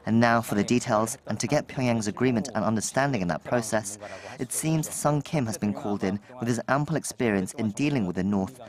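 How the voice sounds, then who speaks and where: Male analyst soundbite, measured, recorded with a crowd audible behind. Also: lowish voice. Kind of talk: news interview soundbite.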